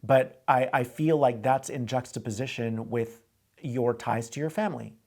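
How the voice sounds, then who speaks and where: A man's voice: mid-range voice, moderate pace, creaky, a little mellow. A man hosting a call-in advice show, coaching a caller in a direct way and often repeating himself. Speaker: host giving advice.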